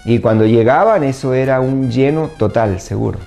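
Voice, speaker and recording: An older man recalls the past in an interview, pausing hesitantly between phrases. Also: medium pitch, gravelly, dark tone, soft background music. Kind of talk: reminiscing interview talk.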